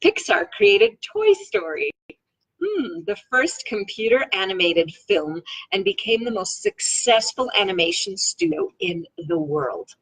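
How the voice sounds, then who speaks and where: Female read-along host: expressive and informal, very animated melody with a husky, breathy texture. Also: mid-range voice, a little slow, low-quality recording. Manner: expressive, playful. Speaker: read-along host reading a book aloud. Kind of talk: informal read-aloud of a self-help book.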